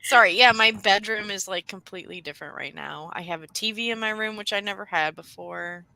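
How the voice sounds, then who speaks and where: A woman's voice: mid-range voice, fairly quick, gravelly, bright, forceful tone, no echo, low-quality recording. A woman co-hosting a casual online livestream from her bedroom over a video call. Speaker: co-host of online reading sprints.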